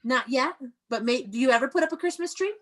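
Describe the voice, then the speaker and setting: Encouraging American woman teacher, higher voice. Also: quick pace. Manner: encouraging, praising, prompting with questions. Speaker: elementary school teacher. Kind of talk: interactive read-aloud lesson over video call. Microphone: video call.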